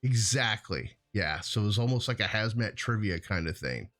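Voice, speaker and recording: Low-voiced man, rambling casual co-host. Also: animated, normal speed, fairly bright tone. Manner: rambling, self-correcting. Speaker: co-host of a hazardous materials show.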